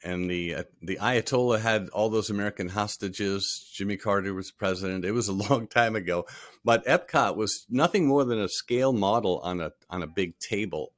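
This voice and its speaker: A man in his sixties from Rhode Island, a podcast guest recalling his career in a relaxed, storytelling way, often trailing off softly at the ends of phrases. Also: on the low side, moderate pace, dry recording, studio quality. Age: 60s. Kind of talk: casual interview.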